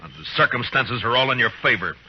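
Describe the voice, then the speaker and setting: An actor playing a stern, dutiful frontier marshal in an old radio drama, terse and grave, on a muffled old recording. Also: male voice, medium pitch, fast talker, slight background noise, close to an everyday voice. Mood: firm, urgent. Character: stern, dutiful frontier marshal, grown man, troubled but firm. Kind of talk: old-time radio acting.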